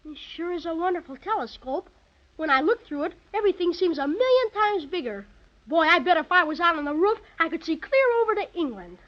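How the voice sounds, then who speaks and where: An actor playing an eager, bold, excitable young boy in a 1930s children's radio serial, full of old-fashioned exclamations. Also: very high voice, fairly quick. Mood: excited, amazed. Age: child character. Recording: muffled 1930s radio transcription.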